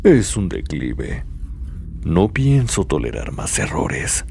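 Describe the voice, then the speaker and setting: Casting: male actor as contemptuous anime demon overlord, Latin American Spanish, very low, widely swinging delivery. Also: wildly swooping pitch, slow, creaky, dark tone, slight background noise, heavily acted. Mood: cold contempt. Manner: imperious, disdainful. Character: cold, contemptuous, menacing demon lord. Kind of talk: anime fan dub acting.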